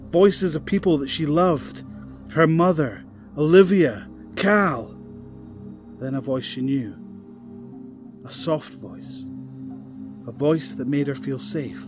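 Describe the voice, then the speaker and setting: A man narrating an audio drama in a measured storytelling voice of middle pitch. Clear music plays underneath his reading. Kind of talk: scripted narration.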